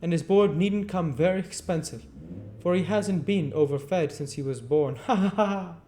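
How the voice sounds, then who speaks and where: A man voicing a smug, callous gentleman in a storybook, with a dismissive, scoffing manner. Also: high voice, normal speed, slight background noise, pitched above the performer's own voice, lightly acted. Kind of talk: storybook character voice.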